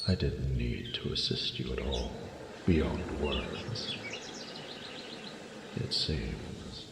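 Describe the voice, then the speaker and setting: Very deep, gravelly ancient dragon. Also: male voice, heavily acted. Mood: proud, approving. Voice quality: deep gravelly, often breathy. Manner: slow, grandiose, formal, gently commanding. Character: ancient male dragon, proud, tender mentor and lover. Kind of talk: scripted fantasy roleplay audio drama. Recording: echoey cavernous reverb with ambient noise.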